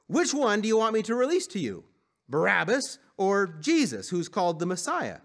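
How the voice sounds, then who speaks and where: A man's voice: high voice, wildly swooping pitch, normal speed, pitched above the performer's own voice, full character voice. A man reading as a stern, exasperated Roman governor in an emphatic, demanding storybook delivery. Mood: questioning.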